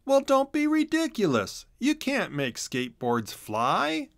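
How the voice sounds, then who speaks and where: A man voicing an excitable, curious young boy hedgehog in a children's storybook, eager and exclaiming. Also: very high voice, a little slow, creaky, no room sound, voice pushed up, heavily acted. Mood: animated, eager.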